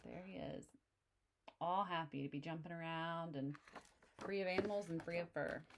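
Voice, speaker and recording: A woman reading a children's picture book aloud, pausing to explain the story to young listeners in a friendly way. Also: deep voice, a little hiss or hum.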